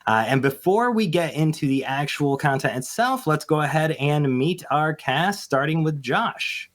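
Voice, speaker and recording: A man's voice: on the high side, expressive, measured pace, a bit bright. A man speaking casually as a show's writer, introducing a cast and explaining things informally.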